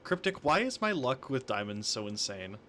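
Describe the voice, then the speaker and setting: Casting: male gamer, very lively intonation, chatty and jokey, casual banter, some background noise. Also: mid-range voice. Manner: chatty, jokey, quick to chuckle. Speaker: gamer playing Minecraft with friends. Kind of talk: casual group game chat. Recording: noisy gaming voice chat.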